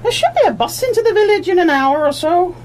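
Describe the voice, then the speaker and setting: A woman's voice: very high-pitched, animated, quick pace. A woman playing a homely, fussing sister who hosts her guests in a live radio play. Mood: helpful.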